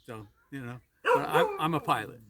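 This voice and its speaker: A man in his seventies from New Jersey telling his life story in a casual interview, halting and repeating words with little chuckles. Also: medium pitch, huge pitch swings, a crowd in the background. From New Jersey, USA. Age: 70s. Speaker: interviewee, retired pilot.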